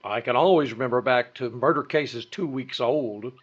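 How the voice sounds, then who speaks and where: An actor playing a blunt, dry police lieutenant in an old-time radio drama, terse and matter-of-fact. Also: male voice, mid-range voice, moderate pace, husky, close to an everyday voice. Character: blunt, dry, fair-minded male police detective lieutenant. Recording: dull, lo-fi audio.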